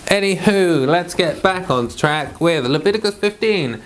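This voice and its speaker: Man, high voice, host and scripture reader, informal read-aloud. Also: expressive, unhurried.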